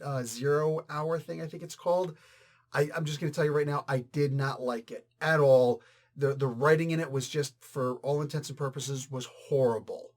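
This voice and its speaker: Higher-voiced man, casual and emphatic. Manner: animated, emphatic, conversational with sudden exclamations and asides. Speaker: comic book reviewer and channel host. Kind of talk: casual opinionated review chat.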